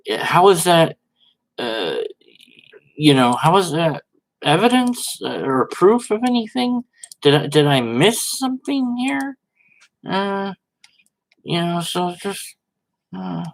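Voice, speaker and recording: A man giving informal, sarcastic commentary and dramatic readings, with a halting, theatrical manner. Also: fairly high pitch, poor audio. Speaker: host reading and commenting on social media posts.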